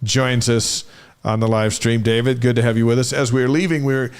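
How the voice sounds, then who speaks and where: A man's voice: mid-range voice, steady tone, fairly quick, clean tone. A middle-aged man co-hosting a sports talk show in casual banter, reading out short items and telling stories in a chatty way.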